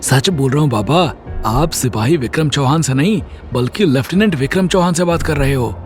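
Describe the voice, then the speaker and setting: An earnest young lieutenant, played by a male storyteller, respectful and sure of himself. He speaks in a lively, moving voice with music underneath, in the manner of a military audio drama. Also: on the high side, brisk, lightly acted. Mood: happy, proud.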